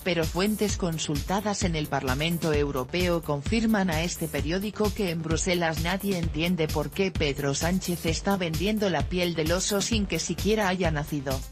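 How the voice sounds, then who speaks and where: Low female newsreader, even read, voice-over with music bed throughout. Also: normal speed, few pauses. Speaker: news narrator. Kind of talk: read news narration.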